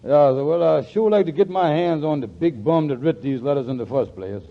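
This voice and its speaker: An actor playing a scheming, flattering small-time hustler in a 1940s radio comedy. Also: male voice, on the high side, a little fast, vocal fry. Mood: baffled, scheming. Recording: muffled 1940s radio recording.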